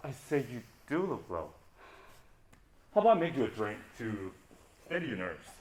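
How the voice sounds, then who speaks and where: A man's voice: mid-range voice, sing-song, moderate pace, roomy sound, lightly acted. A man in an amateur stage play acting a roguish, flirtatious adventurer who talks in a teasing way. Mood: breezy, casual. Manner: smooth-talking, teasing.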